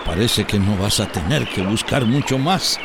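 An actor playing a steady, courteous village man in a radio soap. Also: lowish voice, normal speed, heavy background noise. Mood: relieved. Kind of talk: radio soap opera acting.

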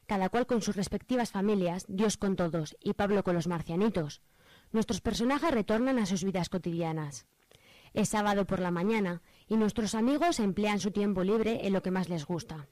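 A woman's voice: mid-range voice, a little mellow, no echo. A woman with an accent from Spain narrates a scripted radio drama in a mock-solemn storytelling manner. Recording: light music bed.

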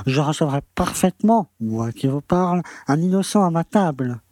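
Casting: male actor for pompous, slippery town mayor, talking down to everyone, fairly high voice, radio play. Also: lively intonation, normal speed, warm tone, dry recording, studio quality, lightly acted. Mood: condescending. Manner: pompous, patronizing. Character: pompous small-town French mayor, condescending and evasive. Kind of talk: audio drama acting.

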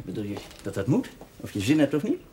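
An actor playing a friendly, inquisitive mining engineer in a television drama, talking casually. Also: male voice, mid-range voice, huge pitch swings, a little fast, mellow. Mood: curious, amiable. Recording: noisy 1970s television soundtrack.

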